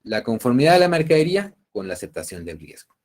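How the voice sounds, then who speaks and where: A man's voice: medium pitch, very animated, fast talker, a little mellow. A man with a Peruvian Spanish accent lecturing an online university class, explaining cases step by step and often checking that students follow. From Peru. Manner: didactic, checking understanding with tag questions.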